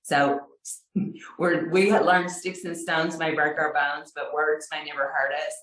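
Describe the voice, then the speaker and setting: A woman's voice: low voice, little pitch movement, unhurried, a bit bright. Texan woman storyteller, echoey room. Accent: Texas. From Texas. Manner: chatty, self-deprecating, laughs often. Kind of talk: personal storytelling. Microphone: video call.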